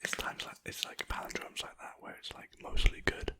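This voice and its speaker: An ASMR creator speaking in a soft, unvoiced whisper close to a sensitive mic, rambling and poking fun at themself while reading lines aloud. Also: fairly quick.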